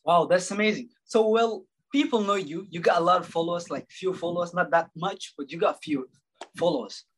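A male podcast host with a clearly high voice who welcomes his guest. His talk is lively and informal, and he circles back to repeat his points. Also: brisk. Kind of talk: casual interview hosting.

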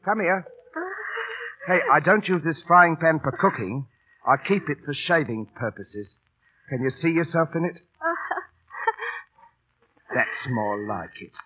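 An actor playing a cheeky, big-hearted London Cockney street entertainer, kindly but bossy, coaxing with repetitive patter in old radio drama style. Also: male voice, on the high side, a little slow, pauses often, breathy edge. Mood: scolding, kindly. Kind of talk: 1930s-40s radio drama acting. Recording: scratchy, noisy, tinny old radio broadcast.

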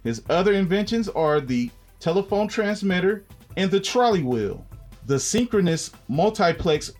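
A man with a fairly high voice reads from a prepared factual script. Soft music runs behind him. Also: big pitch swings, normal speed. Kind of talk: scripted read-aloud segment.

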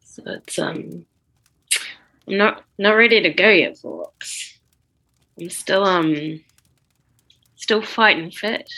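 Comedy sketch: oblivious old wizard headmaster woman performing, bright-toned, casual, chatty, stumbles over words. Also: mid-range voice, slow pace, gravelly, pauses often, exaggerated voice, low-quality recording. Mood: breezy. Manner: stammering, informal, slangy. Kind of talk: improvised comedy audio sketch.

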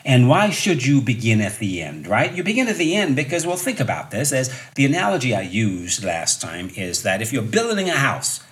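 A man's voice: medium pitch, a bit bright. A man in his fifties hosting a solo motivational podcast as a fitness coach, talking conversationally and emphatically with rhetorical questions and repeated key points. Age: 50s.

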